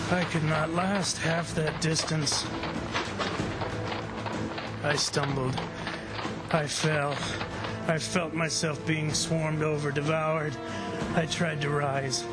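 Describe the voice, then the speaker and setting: A man plays an embattled, stubborn plantation owner in a 1940s-style radio drama. His voice sits fairly high and moves slowly, halting with desperate intensity. Loud music runs underneath. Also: low-quality recording. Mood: desperate, agonized. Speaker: actor in an amateur radio drama. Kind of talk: 1940s radio drama acting, first-person narration.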